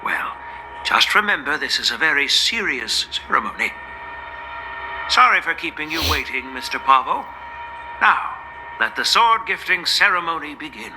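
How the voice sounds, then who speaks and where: A man's voice: on the high side, very animated, normal speed, noisy background. A man playing a dignified, politely authoritative ceremony host in a video game, formal and courteous, over music. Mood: gently admonishing.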